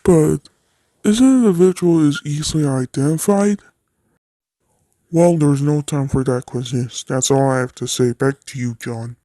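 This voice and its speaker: A man's voice: fairly high pitch, moderate pace, warm tone, no room sound. A man reading a scripted news report as a field correspondent, in a stilted way.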